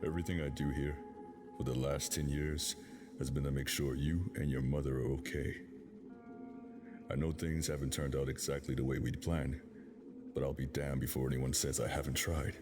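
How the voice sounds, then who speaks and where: An actor playing a gruff, driven operative, protective and blunt, in an audio drama. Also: male voice, little pitch movement, normal speed, over music. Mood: earnest, reassuring. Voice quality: very deep voice.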